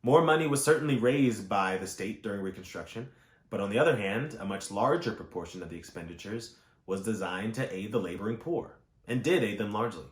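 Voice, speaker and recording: A man reading historical nonfiction aloud in a measured, formal way, phrasing each clause clearly. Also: lowish voice, lively intonation. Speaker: audiobook reader. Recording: roomy, echoing voice recording.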